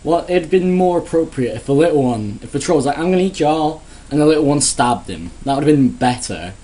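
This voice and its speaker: A man's voice: mid-range voice, animated, a little fast, dark tone, poor audio. A man giving a sarcastic, crude, rambling comedic rant in a casual vlog. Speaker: vlogger.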